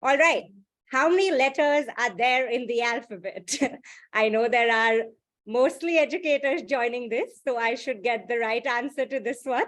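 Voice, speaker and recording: A woman facilitating an online workshop over a video call, speaking in a brisk, friendly way with many fillers. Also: fairly high pitch, a bit bright.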